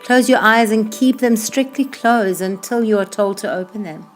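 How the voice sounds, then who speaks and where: A woman yoga instructor leading a guided meditation in a slow, calm, soothing way, with unhurried phrases that trail off softly. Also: a little high. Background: music playing behind the voice.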